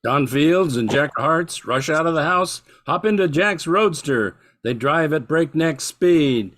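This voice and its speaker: An older man serves as narrator of a vintage-style radio play. His voice sits a little high for a man, and he reads in a scripted announcer style. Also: lively intonation, measured pace, a bit bright.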